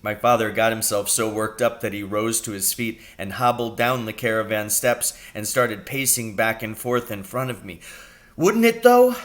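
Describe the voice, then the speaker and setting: A man narrating a children's story aloud in an expressive read-aloud style. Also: lowish voice, normal speed, few pauses. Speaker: audiobook reader.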